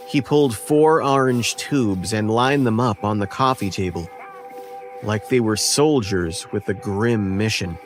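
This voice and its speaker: A man narrating a scripted first-person horror story as its narrator. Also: lowish voice. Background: faint music.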